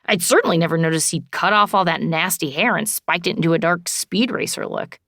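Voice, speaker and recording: A woman reading an audiobook in expressive first person, wry and conversational. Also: fairly low pitch, brisk, dry recording, clean studio sound. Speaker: audiobook narrator.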